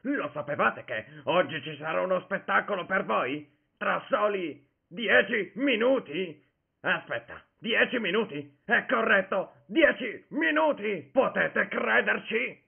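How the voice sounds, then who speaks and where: A man voicing a swaggering animatronic pirate fox for a children's show, raspy, boisterous and theatrical. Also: high-pitched, expressive, a little slow, phone-quality sound, full character voice. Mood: swaggering, excited. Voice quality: raspy, gravelly. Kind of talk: children's show mascot performance, fan dub.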